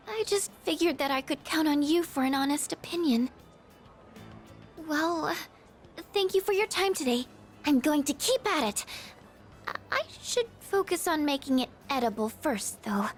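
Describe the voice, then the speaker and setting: Game role: shy, eager-to-please schoolgirl, extremely high voice, soft and halting delivery. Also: lively intonation, faint music behind. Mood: apologetic, earnest. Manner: soft-spoken, hesitant, apologetic. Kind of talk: English video game voice acting.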